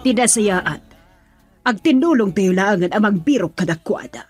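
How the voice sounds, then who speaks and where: Husky, swooping, quarrelsome mother. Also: mid-range voice, expressive, normal speed, soft background music. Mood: reasoning. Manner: exclamatory, argumentative. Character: defensive, quarrelsome mother standing up for her son. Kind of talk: Ilocano radio drama acting.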